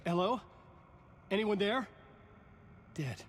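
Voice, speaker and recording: A man's voice: sits high, expressive, slow pace, slight background noise. An actor playing a haunted writer, narrating his nightmare in terse, brooding first person for a game. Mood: searching. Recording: loud music behind the voice. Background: loud music.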